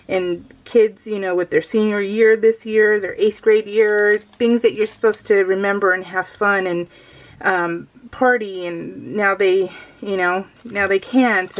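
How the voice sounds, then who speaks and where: A woman's voice: mid-range voice, rough recording. Chatty, casual middle-aged woman. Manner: giggly, animated storytelling with quoted exchanges. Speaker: craft vlogger. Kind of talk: casual chatty voice-over while crafting.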